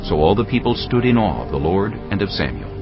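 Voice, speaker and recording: A man's voice: deep voice, very animated, fairly quick, muffled recording, over music. A man reading scripted Bible narration as the narrator of an audio drama.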